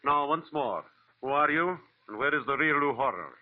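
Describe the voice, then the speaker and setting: A man's voice: a little high, animated, normal speed, close to an everyday voice. Suave radio villain acted by a man, tinny sound. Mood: cold suspicion. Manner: formal, precise, silky threats. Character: suave, menacing male curio-dealer villain, coldly polite. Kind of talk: 1940s radio drama acting. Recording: tinny, narrow old radio recording.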